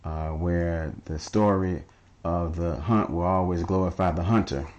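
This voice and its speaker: A man hosting a casual interview, relaxed and chatty, who chuckles at his own jokes. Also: deep voice, unhurried, dull audio, very noisy. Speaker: radio show host.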